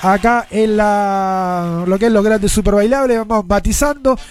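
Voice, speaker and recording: A man's voice: high voice, steady tone, measured pace, faint music behind. A man with a Chilean accent hosting a live radio show with chatty, sing-song patter, his nose stuffed up from a cold. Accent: Chilean Spanish. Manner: chatty, sing-song, upbeat, with frequent tag questions.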